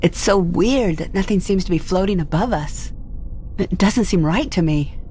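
A woman's voice: on the low side, expressive, moderate pace, dark tone, slight background noise. A woman narrating a scripted first-person audio drama as its storyteller.

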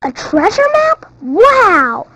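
A woman's voice: very high-pitched, sing-song, slow pace, bright, forceful tone, exaggerated voice. A woman playing an eager, admiring little boy in a cartoon game, with a childlike, earnest delivery. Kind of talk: cartoon video game acting.

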